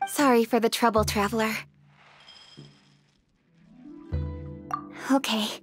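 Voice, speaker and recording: Composed young noblewoman voiced by an actress, high register, polite and businesslike, game music behind. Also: fairly even intonation, fast, natural voice. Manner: formal, crisp, composed. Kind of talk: video game voice acting.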